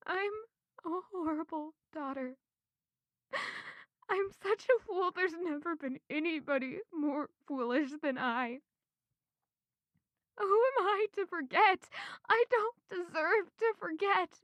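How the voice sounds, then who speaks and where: Fragile, high-voiced grieving young woman. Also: fairly bright tone, dry recording, lo-fi sound, heavily acted. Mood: anguished, self-loathing. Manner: emotive, halting, theatrical. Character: grieving young woman, fragile, self-blaming castle servant. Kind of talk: dramatic audio drama monologue and narration. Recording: music under the voice. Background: music.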